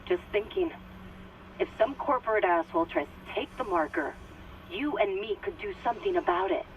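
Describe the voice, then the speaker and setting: A woman's voice: mid-range voice, normal speed, muffled recording, close to an everyday voice. A woman playing a tense, cynical and shaken crew member in a video game, edgy and confiding. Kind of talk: dramatic video game acting. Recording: distant voice in heavy noise.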